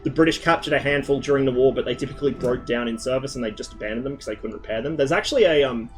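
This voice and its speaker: A man's voice: medium pitch, racing. A man streaming to his viewers in loose, rambling chat, swearing often and wandering between tangents, with a slight lisp on his L sounds and a lingering cough. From Australia. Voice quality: mild speech impediment on L sounds.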